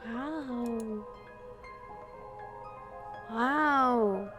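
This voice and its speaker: A woman's voice: fairly high pitch, very slow. A woman playing a visual novel, reading the narration aloud and reacting expressively as a streamer. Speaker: gaming streamer. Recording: game music behind the voice. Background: game music.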